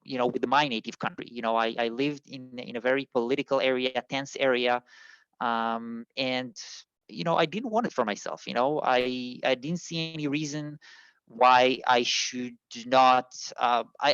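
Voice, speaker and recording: Man from Israel, middle pitch, halting speech with many fillers, dull lo-fi sound. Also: fairly bright tone, clear, resonant tone, no room sound. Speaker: immigration consultant guest. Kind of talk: interview answer, casual.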